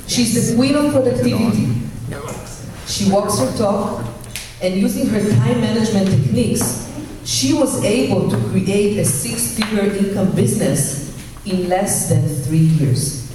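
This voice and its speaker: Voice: medium pitch, measured pace. A host reading a formal introduction of the guest speaker to a gathered audience. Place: meeting room with audience. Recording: echoey hall, distant mic, crowd noise.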